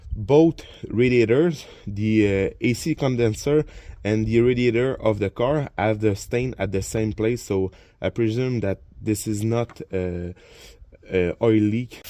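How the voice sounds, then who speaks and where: A man whose first language is French, speaking English with a French accent as he walks through a car repair hands-on. He explains step by step from a garage and under the car, halting often with uh and repeated words while he films on his phone. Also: on the low side, a little mellow, no echo. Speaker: DIY car repair vlogger. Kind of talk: casual hands-on repair walkthrough. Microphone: phone camera while working.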